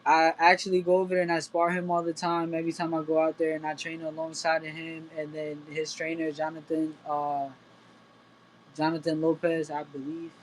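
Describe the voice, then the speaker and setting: A man's voice: on the high side, completely flat delivery, moderate pace, a little hiss or hum, poor audio. A young man from Puerto Rico and the United States, a boxer giving casual, plain-spoken interview answers. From Puerto Rico until age eight, then the United States. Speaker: professional boxer, interview guest.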